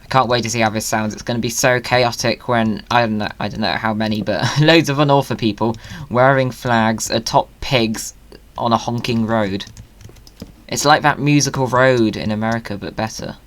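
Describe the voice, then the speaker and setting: An excitable, jokey video creator, chatting through gaming commentary. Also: medium pitch, moderate pace.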